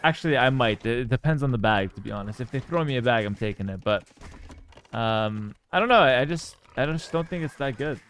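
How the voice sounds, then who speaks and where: A man's voice: mid-range voice, animated, brisk, a bit bright, clear, resonant tone. A man from Canada, chatting casually on a live stream while playing a game, joking, swearing freely and reading viewers' messages aloud. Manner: laid-back, joking, swears casually, reads chat aloud.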